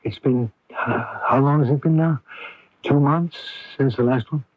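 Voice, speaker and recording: A man's voice: mid-range voice, unhurried, close to an everyday voice. An actor playing an anxious, reticent middle-aged father in a radio drama, hesitant and pressing, stammering at times. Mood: gently worried. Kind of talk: radio drama acting with first-person narration. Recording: dull noisy AM radio broadcast recording.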